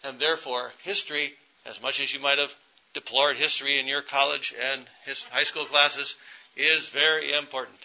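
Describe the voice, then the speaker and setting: A man, a family doctor, introducing the next speaker at a conference in a relaxed way with many fillers. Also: mid-range voice, moderate pace. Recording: dull lo-fi sound.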